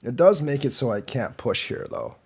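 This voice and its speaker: A man's voice: medium pitch, expressive, moderate pace, a little mellow, breathy edge. A man with a slight cold and a slightly congested voice, rambling as he commentates his own board game live and critiques the moves. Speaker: go teacher streamer.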